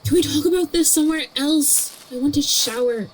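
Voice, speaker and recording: A woman in a podfic audio drama playing a blunt, tired, unbothered young woman, deadpan throughout. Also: sits high, moderate pace, a little hiss or hum, natural voice, rough recording. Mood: dry, unbothered.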